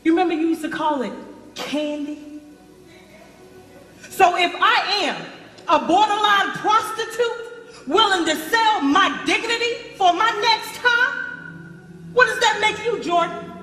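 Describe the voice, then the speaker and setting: Hurt but proud ex-girlfriend in a church play, high sharp voice, theatrical, echoey hall w music. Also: measured pace, long pauses, full character voice. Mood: indignant, accusing. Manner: indignant, emphatic, theatrical. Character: proud, wounded ex-girlfriend, recovered addict turned businesswoman. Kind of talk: gospel stage play acting. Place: stage in a large hall.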